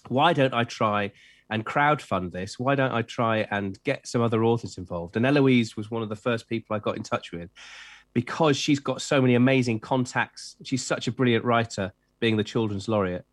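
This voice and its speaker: Middle-aged male author, eager and chatty, mid-range pitch, dry close mic. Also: normal speed, no room sound. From Wales. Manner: enthusiastic, chatty with many fillers and restarts. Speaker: children's author and editor. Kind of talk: interview chat.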